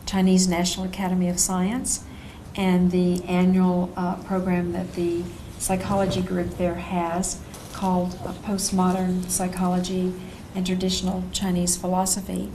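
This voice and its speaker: A woman teaching in an unscripted conversational way, measured and hesitant, often pausing mid-sentence. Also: lowish voice, monotone, dark tone, a little hiss or hum, rough recording. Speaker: course teacher.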